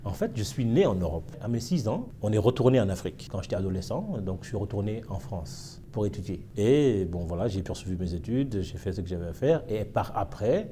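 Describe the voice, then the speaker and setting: A man with a low, mellow voice, giving an interview in a thoughtful, hesitant way, his sentences full of small fillers. A faint hiss sits under the recording. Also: very animated, fairly quick, few pauses. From Senegal. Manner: reflective, with many fillers and hesitations. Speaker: interviewee, historian. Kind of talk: casual interview.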